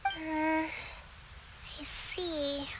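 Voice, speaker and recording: Gentle, high-voiced young priestess leader. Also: female voice, level tone, very noisy. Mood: weary, resigned. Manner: polite, measured, soft-spoken. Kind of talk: video game character acting.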